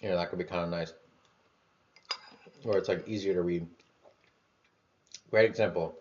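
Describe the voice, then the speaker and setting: A man's voice: low voice, fairly even intonation, normal speed, darkish tone, a little hiss or hum. A man talking through his thoughts in a loose, rambling way, full of fillers, restarts and the odd casual swear. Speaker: vlogger. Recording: dull lo-fi sound.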